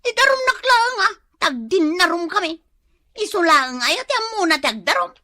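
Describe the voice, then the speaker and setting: A woman as a high, complaining wife. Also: very animated, normal speed, pushed and bright, dry recording, clean studio sound, full character voice. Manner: complaining, defensive. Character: troubled wife ashamed after a fight. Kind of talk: Ilocano radio comedy drama acting.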